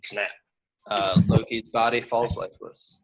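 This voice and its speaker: A man's voice: fairly low pitch, steady tone, unhurried, rough recording. A man narrating scripted action descriptions at a table read. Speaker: stage direction narrator.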